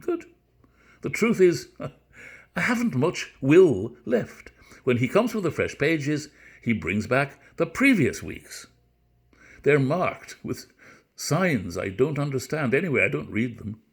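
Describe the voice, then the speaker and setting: A man's voice: mid-range voice, big pitch swings, slow, gravelly, slightly rough, voice pushed up, full character voice. A man reading a novel dramatically as its weary, muddled narrator, in a put-on Irish accent with a plaintive, resigned manner. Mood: puzzled, plaintive.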